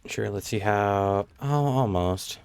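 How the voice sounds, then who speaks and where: A man's voice: lowish voice, slow, darkish tone, clear, resonant tone. A man giving casual, offhand live game commentary, swearing mildly as he plays. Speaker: game streamer.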